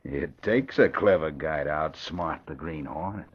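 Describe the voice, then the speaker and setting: A man's voice: a bass voice, measured pace, husky, exaggerated voice. An actor playing a smug, bullying nightclub crime boss in an old radio drama, with brusque tough-guy talk. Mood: smug, gloating. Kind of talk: 1940s radio acting. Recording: dull old radio recording.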